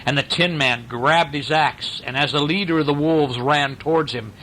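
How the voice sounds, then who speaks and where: A man narrating a bedtime story in an animated, informal way, as if to a listener close by. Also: on the high side, normal speed, slight background noise, rough recording.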